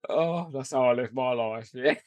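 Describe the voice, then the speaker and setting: A man's voice: medium pitch, normal speed, darkish tone, no echo. A man co-hosting a podcast with a jokey, self-deprecating, rambling way of chatting. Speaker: podcast co-host. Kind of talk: casual chat.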